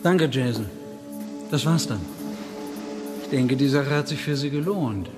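An actor playing a shady, menacing criminal go-between in an audio drama, curt and commanding. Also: male voice, mid-range voice, measured pace, music in the background, close to an everyday voice. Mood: slick, evasive. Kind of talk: German audio drama acting.

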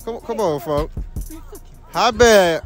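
A young man hosting a casual public interview at a school, joking loudly in profane slang, outdoors on a phone mic. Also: high-pitched, expressive, takes it slowly, faint music behind. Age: young adult. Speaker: street interviewer.

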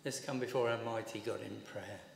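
A man's voice: lowish voice, steady tone, vocal fry, slight background noise. Older man praying in a reverberant church. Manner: earnest, measured, reads from notes. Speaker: intercessor leading church prayers. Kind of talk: read-aloud intercessory prayer. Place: large church interior, at a wooden lectern. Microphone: lectern microphone on a stand.

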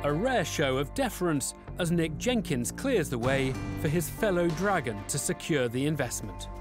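A man's voice: on the high side, expressive. Man narrating a business show over music. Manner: dramatic, measured storytelling. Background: background music.

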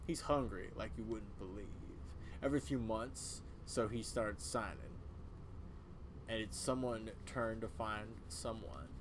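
A man's voice: fairly low pitch, measured pace, background noise, lightly acted. A man voicing a grim, self-righteous old small-town cult elder with a folksy way of talking.

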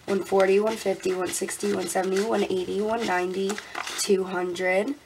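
A young woman vlogging casually at her desk. She sounds congested and a little raspy, laughs often and counts money out loud. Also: medium pitch, little pitch movement, moderate pace, background noise. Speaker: budgeting vlogger. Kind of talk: casual talk while counting cash. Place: indoors at a desk.

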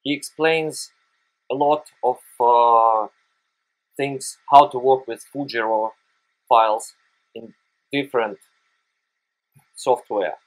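Slow, halting Russian-accented man. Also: mid-range voice, fairly even intonation, poor audio. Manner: hesitant, frequent "uh" pauses, careful non-native phrasing. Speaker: photography tutorial host. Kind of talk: screen-recorded software tutorial.

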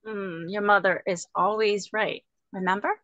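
A woman's voice: mid-range voice, fairly even intonation, moderate pace, fairly bright tone, dry recording, close to an everyday voice. A woman playing a wistful, guarded woman in love in a screenplay table reading over video call.

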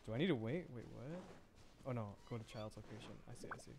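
A man's voice: mid-range voice, animated, fast talker, a little mellow, slight background noise. A man streaming a video game, giving casual, amused commentary and exclaiming at what he sees.

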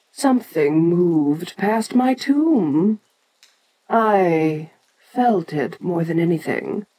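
A woman playing an ancient, domineering giant kaiju in an audio roleplay, haughty, deliberate and curious, talking down to a lesser creature. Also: low voice, darkish tone, husky, full character voice, rough recording. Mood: brooding.

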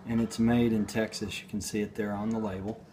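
Man, 40s, home cooking host, lowish voice, rambling and deadpan, recorded on a phone in a noisy kitchen. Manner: slow, rambling, deadpan, with hesitations. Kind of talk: casual home cooking demo. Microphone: phone camera on the counter.

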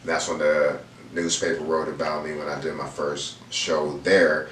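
A man's voice: very deep voice, little pitch movement, normal speed, a bit bright, distant mic. A man in his thirties, raised in Houston, talking in a laid-back, slangy way as a guest painter in an interview. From raised in Houston, Texas. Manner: laid-back slangy talk with lots of fillers. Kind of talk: casual interview chat. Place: indoor room, seated on a leather couch. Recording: roomy echo, voice a bit off mic, faint room noise.

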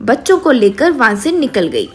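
A woman's voice: a little high, very animated, moderate pace, slightly rough, faint music behind. A woman narrating a scripted storybook tale as the narrator.

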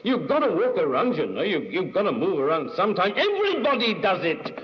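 Storybook role: nagging, quarrelsome male-played man, testy and insistent, pitched high with big swoops. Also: expressive, moderate pace, lo-fi sound. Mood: dull passion. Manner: insistent, quarrelsome, peppered with tag questions. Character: irritable, nagging man in a station buffet.

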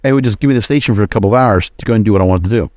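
A man's voice: on the low side, very fast, darkish tone, dry recording, studio quality. A man hosting a solo podcast, giving casual, rambling and opinionated commentary. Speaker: podcast host.

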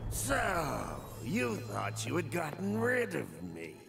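Gravelly high-pitched sorcerer villain, drawling and slow, wild swoops in pitch, game music behind. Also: male voice, wildly swooping pitch, full character voice. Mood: gloating. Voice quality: gravelly, gloating, shouting. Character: small scheming sorcerer villain, male adult.

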